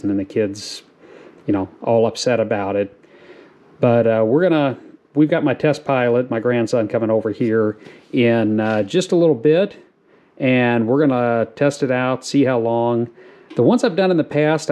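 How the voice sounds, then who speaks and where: A middle-aged man hosting a farm channel, explaining a do-it-yourself project in a relaxed, rambling way with lots of fillers, filmed in a garage on an action camera. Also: medium pitch. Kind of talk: casual how-to video narration. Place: garage, then outdoors on a farm lawn.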